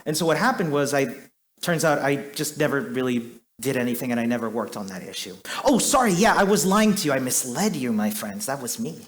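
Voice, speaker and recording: A man's voice: mid-range voice, sing-song, fairly quick. A man from Chile, likely in his thirties, giving a chatty, self-deprecating conference talk on stage with lots of fillers. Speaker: conference speaker.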